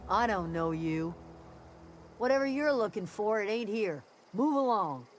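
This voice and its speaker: An actress playing a wary, gruff camp guard in a frontier video game, with curt, folksy speech. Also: female voice, mid-range voice, animated, measured pace, slight background noise, close to an everyday voice. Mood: suspicious, curt. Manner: curt, folksy drawl, suspicious. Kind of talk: video game character dialogue. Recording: music behind the voice.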